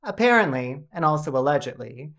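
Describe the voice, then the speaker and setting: A woman's voice: low voice, big pitch swings, brisk. A Canadian woman hosting a scripted comedic video essay with dry, mock-dramatic delivery. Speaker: video essayist and channel host.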